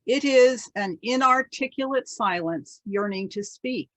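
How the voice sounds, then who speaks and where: A woman serving as worship associate, reading alternating lines of liturgy in a calm, deliberate way over a video call. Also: on the high side, dry recording.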